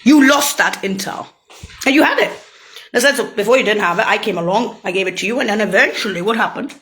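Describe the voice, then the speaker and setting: A woman talking alone in a rambling, profane rant that jumps between topics and breaks into sudden shouts. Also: medium pitch, fast talker. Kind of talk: rambling solo monologue.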